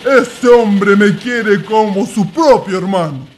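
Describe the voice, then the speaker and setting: Radio play: earnest young Chilean male adventurer, unhurried delivery, faint noise behind. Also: sits high. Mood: earnest, resolved. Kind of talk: radio drama acting.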